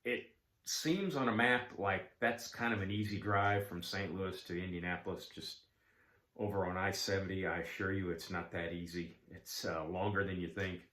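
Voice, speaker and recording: A man's voice: low-pitched, fairly even intonation, normal speed, sharp tone, echoey room. A man, talking casually about collecting and filling his talk with ums and uhs. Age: 70s. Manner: rambling, frequent ums and uhs, folksy. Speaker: card collecting vlogger. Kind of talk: casual chat.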